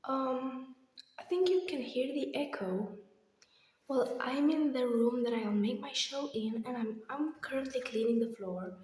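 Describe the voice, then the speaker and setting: A young woman vlogger, slightly hoarse, chatting casually and hesitantly in a rambling personal update recorded in an echoey room. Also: high-pitched, measured pace, darkish tone. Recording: very echoey, reverberant empty room.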